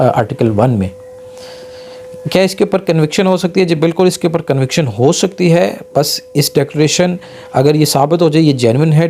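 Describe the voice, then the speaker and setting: A man with a Pakistani accent gives an explanatory law lecture as an advocate, reading English passages slowly and haltingly, then explaining them. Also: fairly high pitch, mellow. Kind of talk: explanatory legal lesson mixing in English legal passages read aloud. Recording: noticeable background noise and hum.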